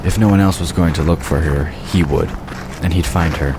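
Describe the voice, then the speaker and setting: A man narrating a fantasy audio drama in a steady, even storytelling manner. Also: rumbling low, normal speed, noisy background.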